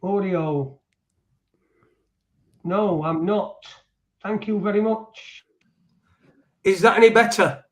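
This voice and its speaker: Rambling high-voiced male host. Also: slow pace, pauses often, a bit bright. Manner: rambling, with restarts and swearing. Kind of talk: casual live stream chat. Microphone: desk mic and webcam at home.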